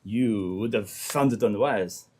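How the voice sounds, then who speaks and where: A man playing a smooth-talking, scheming Frenchman in an old-time radio drama, phrasing things formally. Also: fairly low pitch, slow, a little mellow. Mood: sly, cautioning.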